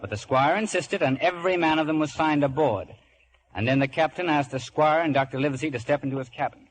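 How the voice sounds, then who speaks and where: A man's voice: on the high side, fast, close to an everyday voice, low-quality recording. A male actor playing a youthful adventurer narrating his own sea story in a scripted radio drama. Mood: wistful, eager. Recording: old radio broadcast, loud music underneath.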